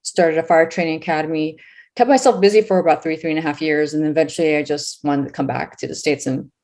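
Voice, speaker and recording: Low-pitched woman, forties, from California, measured interview guest. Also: steady tone, a little mellow. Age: 40s. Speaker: guest author. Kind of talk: casual interview.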